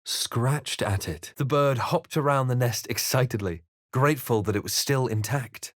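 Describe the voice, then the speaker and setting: A man playing a composed, wry fantasy adventurer for a video game, speaking in a measured, literary, theatrical way. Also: medium pitch, no echo, studio quality, natural voice. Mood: grave, hushed narration. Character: male fantasy adventurer hero narrating his exploits, composed, wry. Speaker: video game player-character voice actor. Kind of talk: dramatic fantasy game voice lines and narration.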